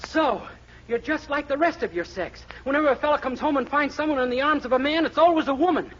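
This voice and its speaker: A man's voice: very high-pitched, fast talker, fairly bright tone. An actor playing a naive, jealous young man in a 1940s radio comedy, indignant and self-important in a mock-formal way. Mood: jealous indignation. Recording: muffled, noisy 1940s radio recording.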